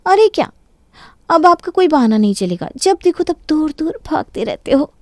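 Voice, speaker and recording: A woman plays a young cartoon daughter-in-law with a high, sugary voice. She sounds innocent and pleading with a sly edge. The recording is dry and close. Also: sing-song, normal speed, darkish tone, no echo. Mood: coaxing. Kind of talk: Hindi cartoon story voice acting.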